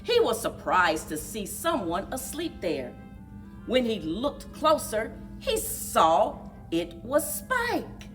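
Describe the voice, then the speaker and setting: A woman reading a picture book aloud to young children as a story time librarian, expressive and deliberate, addressing them directly. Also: on the high side. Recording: distant microphone, music mixed in.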